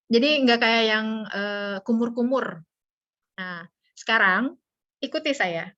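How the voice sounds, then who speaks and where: Lady w teaching speaking online, slow n playful, bright voice, muffled call audio. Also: on the high side. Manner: playful, joking, colloquial. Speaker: public speaking instructor. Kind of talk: informal interactive online lesson. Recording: dull, band-limited video call audio.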